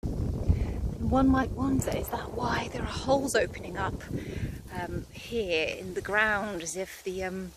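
A woman's voice: medium pitch, measured pace, heavy background noise. Chatty woman vlogging outdoors by phone. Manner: chatty and hesitant, with many restarts and fillers. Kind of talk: casual on-location vlog. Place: outdoors in a country churchyard. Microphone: phone held at arm's length.

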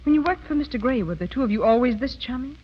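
A muffled old radio broadcast. a spoiled, scheming young society woman, self-assured and needling, with lively shifts of pitch. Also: quick pace, music in the background. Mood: cool, needling. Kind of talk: 1930s radio comedy acting.

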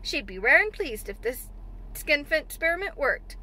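A man's voice: very high voice, very animated, moderate pace, bright, forceful tone, pitched above the performer's own voice, full character voice. A woman voicing a shrewd, testy old Yorkshire gardener in a storybook, blunt and grumbling in broad dialect. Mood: amused admiration. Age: older.

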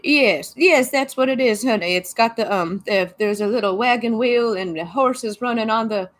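A woman's voice: fairly high pitch, moderate pace, a little hiss or hum, natural voice. A woman putting on the voice of a forgetful eighty-nine-year-old grandmother who rambles and mishears things. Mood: rambling. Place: small home room, indoors.

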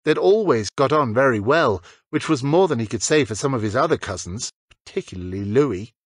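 A man reading fiction as a scripted audiobook narrator, with a husky voice. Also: medium pitch, lively intonation, brisk, no echo.

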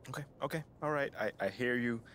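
Young male athlete, played by an actor, medium pitch, boyish easygoing slangy delivery, game cutscene, slight background noise. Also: fast talker, fairly bright tone, close to an everyday voice. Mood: sheepish. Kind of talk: video game cutscene acting.

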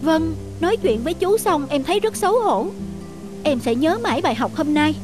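An actress playing a proud young wife in a cartoon, speaking in an expressive, emphatic way. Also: female voice, sits high, normal speed. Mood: sheepish, apologetic. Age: young adult. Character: haughty young wife, quick to scold, later sheepish. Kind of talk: cartoon voice acting. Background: faint music.

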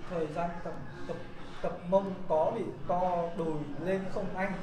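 High-voiced young man hosting a livestream. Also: steady tone, moderate pace. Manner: chatty, addresses viewers directly. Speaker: livestream host touring a gym. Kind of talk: upbeat livestream chat. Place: indoor gym and rooftop cafe. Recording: roomy gym echo with crowd noise.

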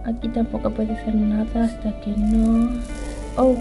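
A woman's voice: a little high, monotone, a little slow. A woman doing casual let's play commentary on a video game, hesitant and half talking to herself. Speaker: gaming channel host playing a video game. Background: game music.

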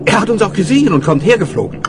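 Lively, friendly cartoon kangaroo. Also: male voice, high-pitched, very fast. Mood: eager. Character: friendly comical kangaroo newspaper reporter, eager and polite. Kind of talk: German children's audio play acting. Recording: noisy old vinyl audio play.

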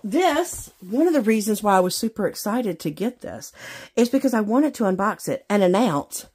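A woman's voice: medium pitch, animated, moderate pace, a little mellow, a little hiss or hum. A woman chatting casually to viewers as a craft vlogger, in a gushing, exclamatory, folksy way. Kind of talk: casual unboxing chat to viewers. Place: indoors at a craft table.

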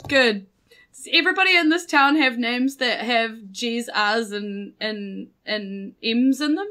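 Female tabletop player, New Zealand accent, bright high voice, playful casual chat. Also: lively intonation, a little slow.

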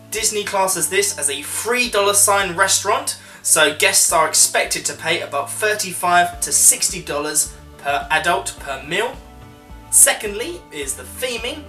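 A man's voice: fairly high pitch, pushed and bright. A man giving a chatty, conversational voice-over review as a vlogger, working through his points informally. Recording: roomy echo, music underneath.

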